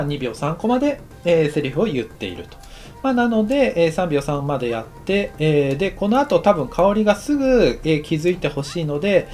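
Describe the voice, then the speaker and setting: Man, tutorial instructor, lively varied pitch, casual explaining, short halting phrases, light background music. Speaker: anime director giving a tutorial.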